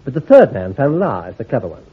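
A brisk intelligence commander, clipped and authoritative, husky voice, animated pitch, tinny phone-like old radio play recording. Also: male voice. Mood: commanding. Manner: clipped, authoritative, gives careful orders. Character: brisk, shrewd male army intelligence commander. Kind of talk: radio drama acting.

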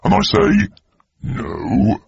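A man's voice: medium pitch, wildly swooping pitch, very slow, vocal fry, highs cut off, heavy background noise, heavily acted. An actor playing a defensive, exasperated man in a mock documentary, whose odd voice sounds disguised. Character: aggrieved anonymous-sounding man, defensive and exasperated about his voice.

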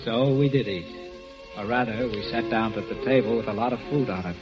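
A man's voice: fairly low pitch, fairly quick, natural voice. Guy doing an earnest lovesick narrator, mid voice, old muffled radio with music under it. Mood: reflective. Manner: reflective first-person narration, earnest. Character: devoted, lovesick male friend narrating. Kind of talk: 1940s radio drama narration.

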